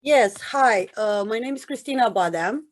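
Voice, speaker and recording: A woman's voice: fairly high pitch, animated, normal speed. A woman from Romania, a student and former teacher, introducing herself hesitantly with many "um" fillers. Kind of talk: self-introduction.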